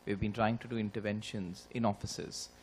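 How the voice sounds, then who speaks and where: Low-voiced academic man asking a question. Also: little pitch movement, moderate pace, a little mellow, slight background noise. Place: large lecture hall.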